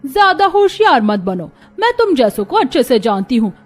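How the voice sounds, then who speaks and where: A woman's voice: high-pitched, sing-song, normal speed, faint music behind. A woman playing a haughty rich mother in a cartoon story, scolding and contemptuous. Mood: scornful, threatening. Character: haughty rich mother, scornful of poor people.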